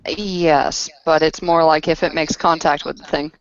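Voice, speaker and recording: A woman's voice: low-pitched, steady tone, measured pace. A woman chatting casually as a player in a tabletop roleplaying game over an online voice chat. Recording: dry, dull lo-fi voice chat.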